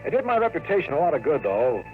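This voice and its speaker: An American actor playing a cocky, wisecracking private investigator in a 1950s radio drama, with dry, slangy tough talk. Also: male voice, fairly high pitch, big pitch swings, fast, phone-quality sound, music in the background. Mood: cocky narrating. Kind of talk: 1950s radio drama acting, hardboiled narration. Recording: noisy vintage 1950s radio recording.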